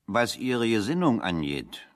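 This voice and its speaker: A shrewd, plain-spoken refugee worker in a radio drama. His voice sits very low, and he speaks with sly, mock-formal solemnity. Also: very animated, unhurried. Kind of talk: 1970 German radio play dialogue.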